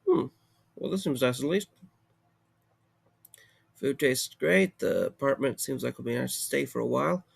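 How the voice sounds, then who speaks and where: A man's voice: medium pitch, moderate pace, vocal fry, long pauses, breathy edge. A man acting in an audio drama as a weary, wry young man with a casual, sardonic, rambling way of talking. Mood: musing.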